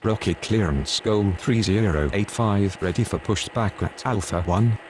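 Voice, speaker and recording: A man with a fairly low voice speaks formulaic aviation radio calls between pilot and controller. Faint vehicle-like ambient noise runs behind him. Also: unhurried. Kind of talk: scripted aviation radio phraseology.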